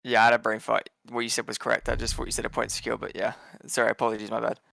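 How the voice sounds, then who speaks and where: A man from England calling live esports play-by-play in quick, steady runs, relaxed and chatty between rounds. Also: mid-range voice, gravelly, no echo. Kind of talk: live game commentary.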